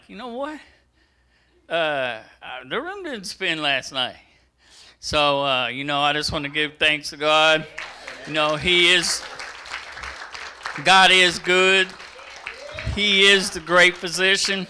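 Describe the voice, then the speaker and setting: A middle-aged man giving a halting, plain testimony in a small church on a handheld mic. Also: a little high, pushed and bright, clean tone.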